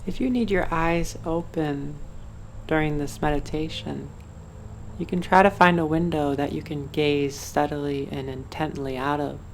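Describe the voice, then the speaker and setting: Female meditation guide, low pitch, soothing and unhurried, faint cricket and insect ambience behind. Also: fairly even intonation, slight background noise.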